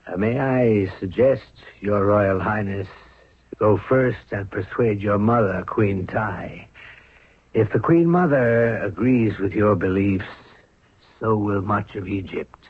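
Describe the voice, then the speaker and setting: Husky courtly royal minister. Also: male voice, lowish voice, slow pace. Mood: deferential, cautious. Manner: formal, deferential. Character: courtly, cautious male prime minister of Egypt. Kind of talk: 1970s radio drama acting. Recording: tinny narrow-band old radio recording.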